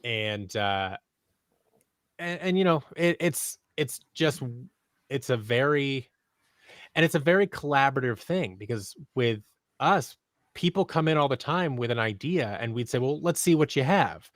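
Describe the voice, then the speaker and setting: A man in his thirties giving conversational interview answers as a podcast guest, talking in a halting, rambling way that often interrupts itself. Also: mid-range voice, expressive, dry recording, studio quality. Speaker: podcast guest, audio drama creator. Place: small home office, indoors. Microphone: close boom-arm microphone on a video call.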